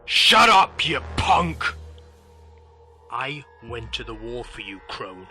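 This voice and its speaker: A man acting a cold, contemptuous, domineering rich father in an animated drama, with clipped delivery. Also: medium pitch, measured pace, slightly rough, soft background music. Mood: scornful.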